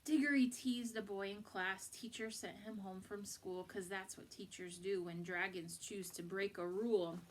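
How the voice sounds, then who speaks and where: A woman reading a picture book aloud to children in an expressive, deliberate, instructive way. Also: medium pitch, slight background noise. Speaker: storybook reader for children.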